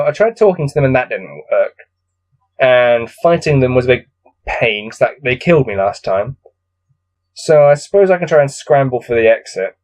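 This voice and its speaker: A man's voice: medium pitch, a little mellow. A man reading a gamebook aloud as host of a let's-play, musing to himself with casual, self-deprecating asides.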